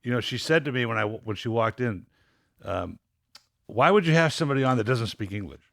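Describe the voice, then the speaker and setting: A man's voice: medium pitch, expressive, fairly quick, vocal fry, fairly bright tone, no echo. A middle-aged man hosting a wine podcast in a studio, upbeat and rambling with frequent restarts. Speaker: podcast host. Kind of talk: casual interview chat.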